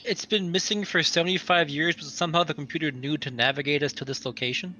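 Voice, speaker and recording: Man, slightly high bright voice, tabletop player, casual talk, a little background noise. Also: fairly even intonation, fast talker, muffled recording. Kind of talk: casual game chat.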